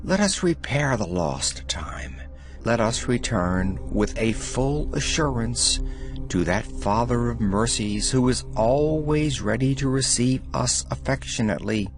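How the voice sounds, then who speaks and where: A man reading devotional letters aloud as an audiobook narrator, in a measured, solemn manner. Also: mid-range voice, expressive, music in the background. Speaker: audiobook reader.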